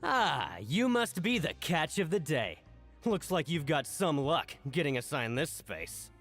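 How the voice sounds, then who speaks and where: An actor playing a gruff, bullying prison foreman in a video game, stern, barking and condescending. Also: on the high side, sing-song, unhurried, fairly bright tone, soft background music, full character voice. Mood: barking, scornful. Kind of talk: animated video game voice acting.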